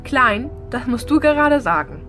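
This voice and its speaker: Stubborn kid girl, challenging, audio drama, higher voice. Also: very animated, measured pace, bright, forceful tone, soft background music, heavily acted. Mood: insistent. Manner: insistent, challenging. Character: determined young girl searching for her sister.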